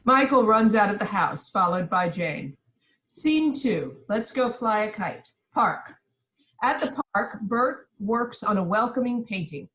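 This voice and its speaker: A woman's voice: medium pitch, animated, unhurried, fairly bright tone, rough recording. A woman reading stage directions as the narrator in scripted narration.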